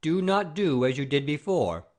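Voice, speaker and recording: A man's voice: on the high side, sing-song, normal speed. Man playing a blustering, swooping noble father-in-law. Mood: haughty, scolding. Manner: blustering, haughty. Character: pompous, easily offended noble father-in-law. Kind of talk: classic comedy audio play acting.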